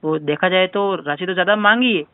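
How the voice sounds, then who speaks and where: A man's voice: high-pitched, fairly quick, clear, resonant tone, no room sound. A man making an insistent complaint over the phone, arguing his point again and again. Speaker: caller filing a complaint with a helpline. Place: telephone call. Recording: narrow-band phone call recording.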